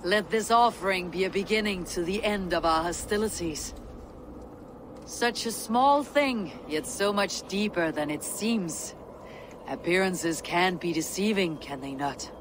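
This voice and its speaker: A woman voicing a defiant, cunning Norse god in a fantasy video game, grave and measured. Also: lowish voice, background noise, natural voice. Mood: proud, courtly.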